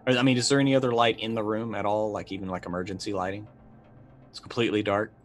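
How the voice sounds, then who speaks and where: Low-voiced man, thinking aloud. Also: steady tone, fast talker. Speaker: player in a tabletop roleplaying game. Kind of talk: casual chat, narrating his character's actions. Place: indoor room, video call. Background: background music.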